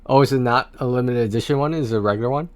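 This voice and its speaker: A man's voice: medium pitch, very fast, no room sound. A man livestreaming about video games in a casual, rambling, conversational way, speaking to his viewers on a close mic.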